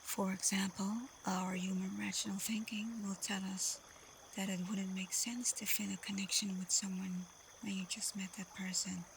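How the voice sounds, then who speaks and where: A woman playing a confident, protective, teasing female werewolf alpha in a soft, breathy, often whispered ASMR roleplay voice. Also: medium pitch, level tone, normal speed, a little hiss or hum, lightly acted. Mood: teasing, intimate. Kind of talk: slow ASMR roleplay addressed to the listener.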